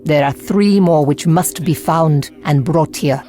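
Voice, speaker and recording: A woman's voice: low-pitched, measured pace, mellow, soft background music. A woman playing a resolute, commanding warrior leader in a video game cutscene, speaking firmly. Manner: firm, commanding. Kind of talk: scripted game cutscene dialogue.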